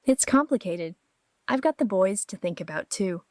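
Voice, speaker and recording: A woman's voice: mid-range voice, animated, moderate pace, darkish tone, no room sound, natural voice. A woman playing a conflicted, defensive and vulnerable married mother in an audio drama. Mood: guilty, vulnerable.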